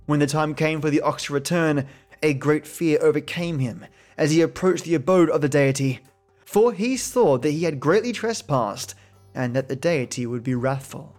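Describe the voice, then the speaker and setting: A man reading an old folk tale aloud in a calm, steady storytelling voice. His pitch sits a little high. Faint music plays underneath. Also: normal speed. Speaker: podcast host reading folk tales. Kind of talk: storytelling narration.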